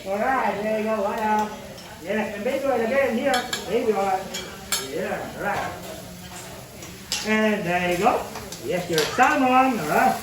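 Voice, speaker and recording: A man's voice: sits high, a little slow, long pauses, very noisy. A man from the Philippines, a teppanyaki chef keeping up playful, self-laughing jokes as he cooks. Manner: playful, jokey, laughs at himself. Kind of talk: joking patter while cooking tableside. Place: busy teppanyaki restaurant on a cruise ship. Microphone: camera held by a diner across the grill. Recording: echoey, distant, loud crowd. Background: diners chatting and food sizzling.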